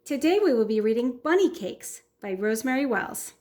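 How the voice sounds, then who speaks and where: A woman hosting a children's story time and reading a picture book aloud in an expressive way. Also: a little high, moderate pace. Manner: warm, expressive storytelling for kids.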